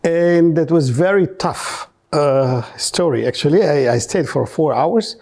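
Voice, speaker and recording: A man from Egypt telling his story as an interview guest in non-native English, haltingly, repeating words for emphasis. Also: fairly high pitch, darkish tone. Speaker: podcast guest, researcher and businessman.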